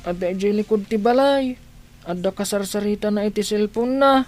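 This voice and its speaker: Radio drama: actress as plaintive little kid, Ilocano accent, medium female pitch, soft music bed. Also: normal speed, natural voice. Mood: whiny, hungry. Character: plaintive young child confiding in father. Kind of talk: Filipino radio drama acting.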